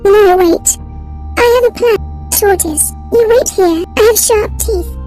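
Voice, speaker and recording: A performer voicing a small, clever, helpful mouse in a children's animal fable, eager and squeaky. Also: measured pace, warm tone, soft background music. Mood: confident, planning.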